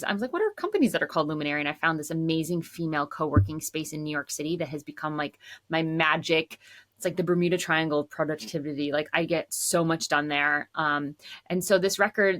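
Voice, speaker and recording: Woman, 30s, low-pitched, casual storytelling, frequent fillers. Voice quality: says she has a low voice. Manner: chatty, says "like" often, relaxed. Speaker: songwriter and composer hosting her channel.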